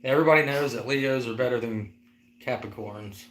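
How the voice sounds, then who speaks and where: A man's voice: mid-range voice, moderate pace, reverberant, low-quality recording. A man in his forties co-hosting a casual live-stream chat, rambling and teasing, with lots of "like". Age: 40s. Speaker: co-host.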